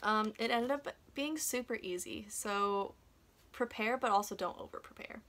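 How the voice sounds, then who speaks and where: A woman's voice: fairly high pitch, moderate pace, a bit bright. A young woman talking casually in a chatty, self-deprecating way, like a vlogger sharing advice. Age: young adult.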